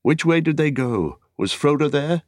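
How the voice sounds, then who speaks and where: A man's voice: a little high, expressive, normal speed, a little mellow, no room sound, studio quality, pitched above the performer's own voice, lightly acted. A man reading aloud as a noble, anguished warrior hero in an epic fantasy audiobook, declaiming solemnly in archaic phrases. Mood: anguished, questioning.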